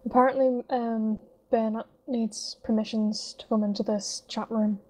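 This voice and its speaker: A woman with a naturally hoarse voice chatting casually on voice chat, relaxed and swearing now and then. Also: on the high side, normal speed, poor audio. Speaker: voice chat participant.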